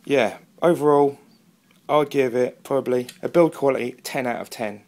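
A man's voice: medium pitch, moderate pace, a little mellow. A man with an English accent talking casually as a hobbyist reviewer, rambling a little and self-deprecating. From England. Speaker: amateur radio hobbyist and video reviewer. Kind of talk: casual product review and radio chat. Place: indoors at a wooden table, off camera.

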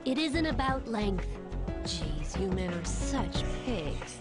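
A woman voicing a scornful, sharp-tongued character in a video game cutscene. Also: mid-range voice, sing-song, slow pace. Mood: disgusted. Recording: loud music over dialogue.

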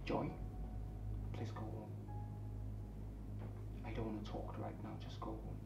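A man's voice: high voice, animated, brisk, recorded from a distance. An actor playing a proud, indignant man devoted to his mother, repeating phrases emphatically in a film drama. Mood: hurt, subdued. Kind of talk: Nollywood-style film drama acting. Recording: echoey room, muffled, faint music.

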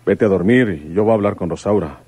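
An actor playing a devoted, plain-spoken country detective in a radio drama. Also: male voice, low-pitched, quick pace, a little mellow, close to an everyday voice. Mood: firm, dutiful.

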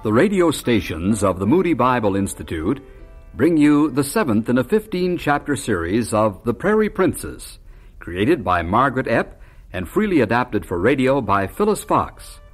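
A man's voice: medium pitch, very animated, normal speed, faint music behind. A man announcing a vintage radio drama in a formal, scripted narration style.

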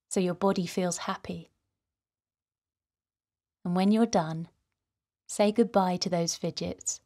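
A woman hosting a guided meditation for children, speaking in a gentle, soothing, softly breathy way. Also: fairly low pitch. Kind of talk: guided relaxation narration for children.

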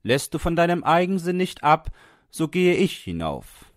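A man's voice: fairly high pitch, big pitch swings, a little slow, no room sound, studio quality, voice pushed up. A man voicing a coal dealer's stern wife in an audiobook story, firm, scolding and dismissive.

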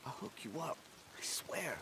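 An actor playing a nervous, apologetic mechanic in a video game, stammering and eager to please. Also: male voice, fairly high pitch, sing-song, normal speed, gravelly. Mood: apologetic, pleading. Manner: stammering, pleading. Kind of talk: cinematic video game acting. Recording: noisy game audio with rainy ambience.

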